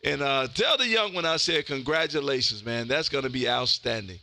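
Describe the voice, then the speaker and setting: Male livestream host for ham radio chat: very bright, punchy delivery with a gravelly edge, folksy and effusive. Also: medium pitch, lively intonation, brisk, dry recording. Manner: effusive, folksy, peppers talk with "man". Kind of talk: casual radio chat with listeners.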